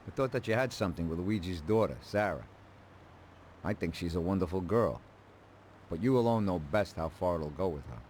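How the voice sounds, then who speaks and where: A man playing a calm, fatherly mob adviser in a crime video game. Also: low voice, fairly quick, slight background noise. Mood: earnest advice. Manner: paternal, advising. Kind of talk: measured dramatic dialogue.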